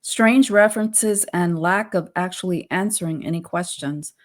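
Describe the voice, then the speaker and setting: A woman's voice: on the low side, normal speed. A woman co-hosting a casual trial discussion in a conversational, tentative way. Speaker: co-host.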